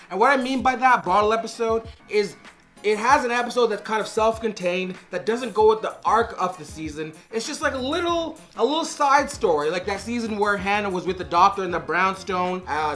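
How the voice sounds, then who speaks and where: A hoarse, raspy man who is losing his voice talks straight to camera, reviewing a show in an animated, rambling vlog style. His tone is bright and forward even through the rasp. He keeps restarting his sentences, and faint music plays under him. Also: sits high. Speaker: TV review vlogger. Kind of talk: casual opinionated review to camera. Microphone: on-camera shotgun mic.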